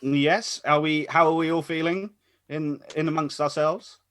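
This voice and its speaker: A man's voice: a little high, steady tone, unhurried, fairly bright tone. A man hosting a casual live stream as announcer, chatty and rambling as he introduces things. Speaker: host and announcer. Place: online group call.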